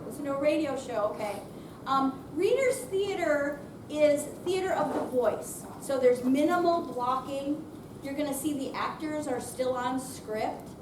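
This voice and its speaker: A woman presenter speaking loudly and chattily off the cuff to a live audience, introducing a theater program. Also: high-pitched, animated, a little slow. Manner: loud, projecting, chatty. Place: senior center room, unamplified, before an audience. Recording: distant mic, echoey room, noisy.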